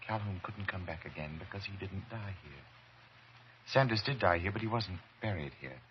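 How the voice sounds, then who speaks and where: Dull noisy vintage radio recording, obsessed murderous doctor, lowish voice, theatrical horror delivery. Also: male voice, lively intonation, normal speed. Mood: quietly menacing. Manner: intense, theatrical, dramatic. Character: obsessed doctor turned coldly murderous, later terrified. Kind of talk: old-time radio horror acting.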